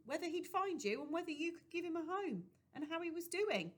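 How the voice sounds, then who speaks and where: A woman voicing a plump, panting young working girl who talks in a breathy, earnest rush. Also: extremely high pitch, sing-song, a little fast, voice pushed up. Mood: earnest, urging. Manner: breathy, wheezing, chatty.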